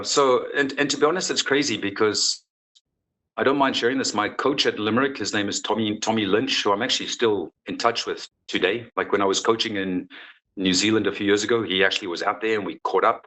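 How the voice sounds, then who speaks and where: A man's voice: fairly low pitch, fairly quick, a bit bright, poor audio. A man in his forties from South Africa, a football coach answering interview questions in an earnest, frank way with many restarts.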